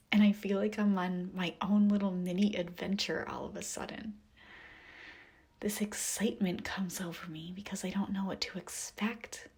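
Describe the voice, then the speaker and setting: A woman narrating a guided meditation visualization in a soft, breathy, whispery voice, with a gentle, soothing manner and long pauses. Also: medium pitch.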